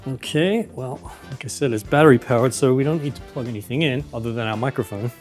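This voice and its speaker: A man presenting an upbeat, clearly explained review and setup of a portable loudspeaker. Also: mid-range voice, fast talker, soft background music. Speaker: product reviewer. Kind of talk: gear review and unboxing.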